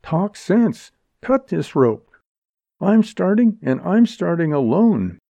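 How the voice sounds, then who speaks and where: Storybook role: male reader as brassy, wisecracking young woman, pitched well up, defiant and sneering. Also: big pitch swings, slow, gravelly, dark tone, no echo, full character voice. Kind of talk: dramatized audiobook dialogue.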